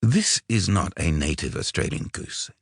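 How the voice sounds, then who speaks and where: A man's voice: low voice, big pitch swings, normal speed, vocal fry, breathy edge, no echo, clean studio sound, exaggerated voice. A man voicing a disdainful, officious vet in an audiobook, clipped and matter-of-fact. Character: disdainful, officious male veterinarian, dry and unbending. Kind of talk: audiobook character voice.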